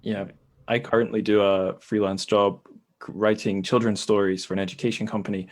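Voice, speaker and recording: A man's voice: lowish voice, level tone, brisk. A man hosting a casual podcast panel, thinking aloud in a conversational way. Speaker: podcast host.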